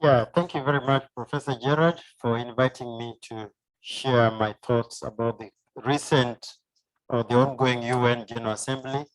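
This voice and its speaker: Kenyan-accented male professor, mid-pitched, formal interview guest, methodical explainer. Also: steady tone, moderate pace, poor audio.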